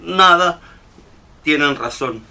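An actor playing a meek middle-aged husband and amateur inventor in a radio drama, hesitant and apologetic. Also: male voice, on the high side, lively intonation, slow, creaky, pushed and bright, full character voice. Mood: meek, wounded.

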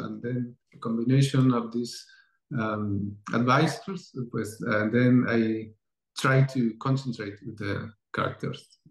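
A man in his thirties whose first language is Mixtec, speaking English haltingly and searching for words as a producer in a festival Q&A. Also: mid-range voice, gravelly. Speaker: film producer and actor. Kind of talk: festival Q&A over video call. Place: home room, indoors. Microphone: video call. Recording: roomy video call audio.

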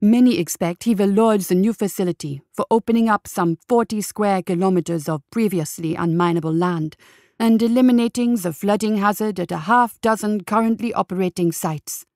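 A woman's voice: medium pitch, moderate pace, darkish tone, dry recording, studio quality, close to an everyday voice. A woman voicing a male radio reporter giving formal, genteel live commentary on a society event.

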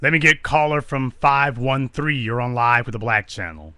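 A man hosts a call-in talk show, taking callers and lecturing them bluntly. His voice is bright and forceful. The sound is dry and close, with no room around it. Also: medium pitch, moderate pace, no echo.

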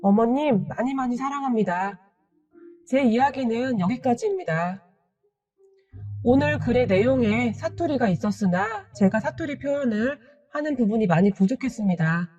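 A woman's voice: on the high side, animated, normal speed, far from the mic, highs cut off. Earnest woman telling a personal story. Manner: gentle, earnest, polite storytelling. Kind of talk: first-person story narration.

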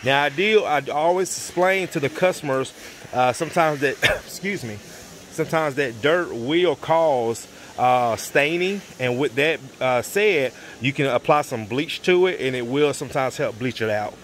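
A man's voice: mid-range voice, measured pace, slight background noise. A man who cleans floors, talking casually to viewers as he films a job in an unfinished basement on a handheld phone. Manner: relaxed, talks to viewers with fillers. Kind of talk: casual work vlog walkthrough. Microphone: handheld phone camera.

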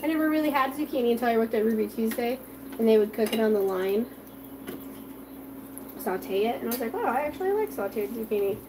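A woman's voice: a little high, animated, fast, noisy background. Chatty woman hosting a kitchen livestream. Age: adult with children. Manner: chatty, reads viewer comments aloud while frying. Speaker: home cooking livestream host. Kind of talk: casual live cooking chat with viewers. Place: home kitchen, indoors.

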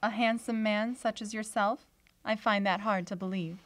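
An actress playing a mysterious, beguiling young woman healer in a radio drama, with measured, enigmatic, old-fashioned phrasing. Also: medium pitch, steady tone, natural voice. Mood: coy, probing. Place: small indoor radio studio. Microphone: shared vintage-style studio mic on stand.

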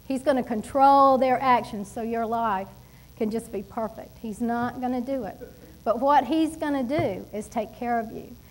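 High-voiced woman preaching with emphasis. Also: moderate pace, rough recording. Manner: animated and emphatic, with laughs and asides. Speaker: pastor. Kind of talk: sermon. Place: church stage at a wooden pulpit.

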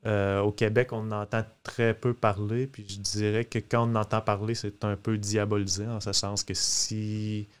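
A man in his thirties with a Quebec French accent, explaining things casually and haltingly as a guest on a studio mic. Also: fairly low pitch, flat delivery, smooth. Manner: hesitant, halting. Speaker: podcast guest. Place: small podcast studio, indoors. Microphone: close studio mic on boom arm.

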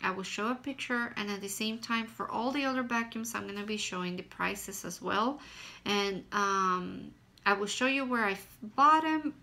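A woman's voice: mid-range voice, normal speed, creaky, pushed and bright. A woman in her thirties from Guatemala with a Guatemalan Spanish accent, giving a casual product review at home, plain and hesitant with frequent fillers. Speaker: vlogger. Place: small room at home, indoors.

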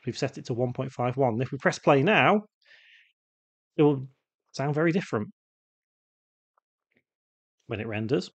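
A man narrating an unscripted software tutorial, casually thinking aloud as he demonstrates each step. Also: medium pitch, expressive, measured pace, vocal fry, long pauses, slightly rough, no room sound. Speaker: tutorial presenter.

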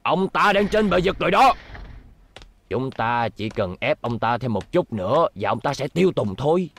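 A man's voice: medium pitch, sing-song, moderate pace, a bit bright. A man voicing a scheming, irritable crime boss in a dubbed cartoon, brusque and impatient. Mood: scheming, irritated. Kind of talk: animated series dub acting.

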